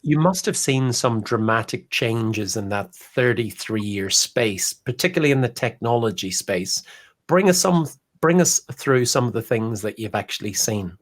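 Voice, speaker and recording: A man's voice: medium pitch, lively intonation, moderate pace. A man hosting an interview podcast, reading a set introduction and asking polite questions. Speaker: podcast host.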